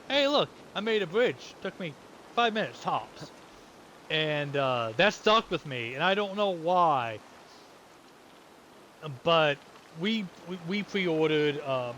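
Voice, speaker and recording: A man in his thirties from the United States chats through gameplay in a rambling way, often restarting his sentences. His voice sits a little high, with clear background noise under it. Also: a bit bright. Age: 30s. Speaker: gamer. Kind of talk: casual gameplay commentary.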